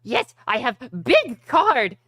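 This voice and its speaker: A woman voicing an eager, simple-minded male sidekick who talks haltingly and with naive pride in a tabletop game. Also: high voice, very animated, fairly bright tone, no room sound, clean studio sound, voice pushed up, full character voice. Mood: naive, proud. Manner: slow, halting, naively proud.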